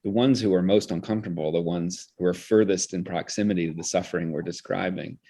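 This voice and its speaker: A man with a low-pitched voice talks as an interview guest. He is articulate and intellectual, thinking ideas through aloud and sometimes restarting a phrase as he searches for wording. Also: fairly even intonation, fast talker, vocal fry, warm tone. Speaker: interview guest, theater director. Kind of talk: reflective conversational explanation.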